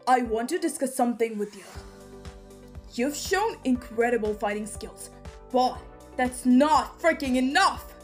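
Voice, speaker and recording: A woman's voice: a little high, expressive, moderate pace, long pauses, darkish tone, natural voice. A woman voicing a brash, cocky, jealous male boss angel in a comic dub, with a swaggering, crude, emphatic delivery. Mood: bossy. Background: background music.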